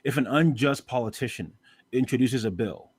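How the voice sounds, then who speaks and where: A man from Canada arguing in a live debate, pressing his point with rapid repeated restarts. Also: medium pitch, darkish tone, breathy edge, no room sound. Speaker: debate guest.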